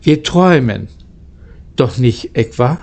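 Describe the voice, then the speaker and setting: A man's voice: medium pitch, expressive, slow, darkish tone. A man reading the part of an easygoing, plain-spoken locomotive driver in a children's storybook. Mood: baffled. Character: easygoing adult male locomotive driver explaining things.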